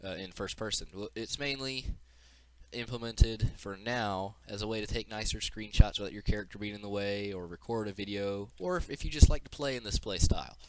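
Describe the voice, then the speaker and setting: A man's voice: on the low side, brisk, slight background noise, poor audio. A man giving an informal, unscripted walkthrough of a game demo as its developer, explaining as he goes, with audible breathing into the mic.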